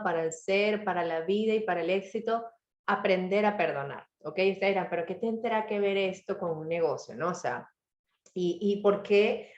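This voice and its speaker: A woman speaking, her throat a little hoarse, shares a personal story in a reflective motivational talk. She checks in often with her listeners. The sound is dull and lo-fi, with a roomy echo around her. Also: mid-range voice. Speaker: author and speaker.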